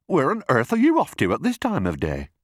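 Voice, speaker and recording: Guy doing a friendly nosy hippo for a kids story, hearty, pitched up and bouncy. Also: wildly swooping pitch, brisk, dry recording, studio quality. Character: big genial hippopotamus, hearty and inquisitive.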